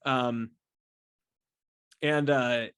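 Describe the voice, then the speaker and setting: A man chatting casually and solo as a podcast host, effusive and halting, repeating words and swearing freely as he rambles. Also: medium pitch, fairly even intonation, fairly bright tone, clear, resonant tone, dry recording. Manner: effusive, enthusiastic fan talk. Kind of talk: casual solo chat to camera about a favorite band.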